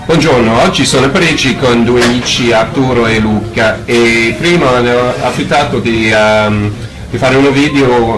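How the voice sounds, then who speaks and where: A man introduces his travel vlog in a relaxed, pausing way. His voice rings in a roomy space, and music plays clearly behind him. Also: mid-range voice. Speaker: travel vlogger. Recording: echoey room with music.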